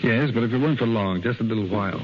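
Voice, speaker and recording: An actor playing a young American naval lieutenant, a stubborn and conflicted lover, in 1930s radio drama style. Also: male voice, on the low side, very fast, close to an everyday voice. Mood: gentle, hesitant. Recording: muffled, hissy 1930s radio broadcast recording.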